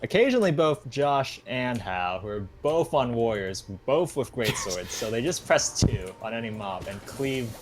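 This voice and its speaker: A man's voice: medium pitch, very animated, normal speed, hardly any pauses, noisy background. A young man chatting casually with friends as a co-player during a video game. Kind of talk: casual chat while gaming.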